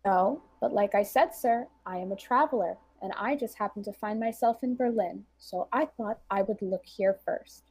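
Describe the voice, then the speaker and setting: A woman's voice: medium pitch, moderate pace, few pauses, a little mellow, breathy edge, dry recording. A woman playing her character in a tabletop game, polite and firm while she stays evasive. Her voice sits in the middle range, and the close mic sounds dry. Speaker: player.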